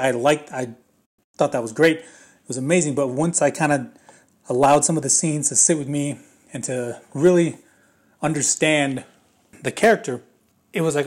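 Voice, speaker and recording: A man with a slightly raspy voice giving a casual, rambling spoken movie review, full of fillers and restarts. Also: fairly high pitch, a little mellow.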